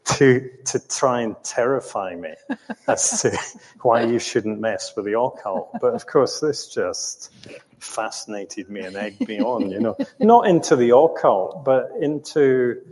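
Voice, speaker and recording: An older man recounting his own life in an interview, speaking reflectively in a halting, thoughtful way with long hesitations. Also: mid-range voice, animated, a little mellow. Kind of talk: reflective storytelling in conversation. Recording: roomy hall with long reverb.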